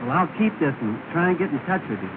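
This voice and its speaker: Genial gentleman, bouncy intonation, hissy tinny old film audio. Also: on the high side, big pitch swings, fast talker. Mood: somber. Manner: genial, courteous. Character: kindly gentleman, former employer's son. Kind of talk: 1930 film acting.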